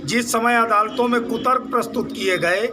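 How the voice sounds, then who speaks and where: A man giving a spontaneous reaction to the press, arguing haltingly and repeating phrases. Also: extremely high pitch, over music. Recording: clear ambient noise around voice.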